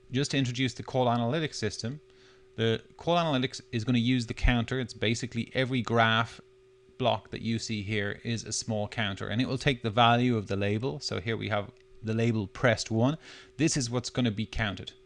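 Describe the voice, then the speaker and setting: A man with a mid-pitched voice narrates a screencast tutorial. He explains each step as he goes, speaking informally as a presenter would when showing software without a tight script. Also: steady tone, a little fast.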